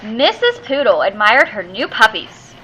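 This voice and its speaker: A woman reads a children's storybook in a high, bright voice. Her pitch swings widely as she leans theatrically on key words, drawing them out for young listeners. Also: huge pitch swings, unhurried, a little hiss or hum, rough recording. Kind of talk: expressive children's storybook read-aloud.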